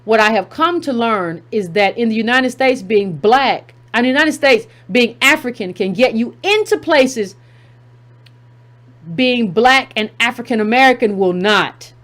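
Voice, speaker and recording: A woman's voice: on the high side, lively intonation, unhurried, fairly bright tone. A woman hosting a commentary channel, reading a text aloud and breaking in with emphatic asides, repeating key phrases for effect.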